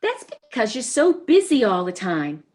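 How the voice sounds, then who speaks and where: Actress as fretful, neurotic wife for stage comedy; highish voice, lively swings, quick to get overwrought. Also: female voice. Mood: emotional, reproachful. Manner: fretful, emotional. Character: anxious, neurotic, sympathetic wife, easily overwrought. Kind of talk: stage comedy readers theater.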